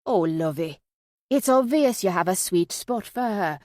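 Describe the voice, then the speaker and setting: A woman's voice: mid-range voice, animated, unhurried, darkish tone, no echo, clean studio sound, natural voice. A woman voicing a cheery, affectionate Yorkshire woman in an audiobook, effusive and full of endearments. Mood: teasing, reassuring. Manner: chirpy, effusive, uses endearments.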